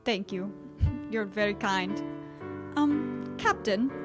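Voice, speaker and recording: A woman's voice: mid-range voice, takes it slowly, over music, lightly acted. A woman playing an elegant, poised young woman, polite yet defiant, in 1940s radio theater style. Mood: polite, curious.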